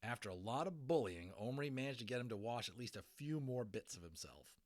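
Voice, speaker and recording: Guy reading an audiobook to kids, really animated and theatrical, mid pitch. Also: brisk. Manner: expressive, theatrical. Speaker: audiobook reader. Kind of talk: dramatic storybook narration.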